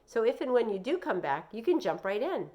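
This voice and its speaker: A woman reading a children's novel aloud, voicing a kindly, firm schoolteacher with a reassuring, measured manner. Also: on the high side, sing-song, dark tone, voice pushed up.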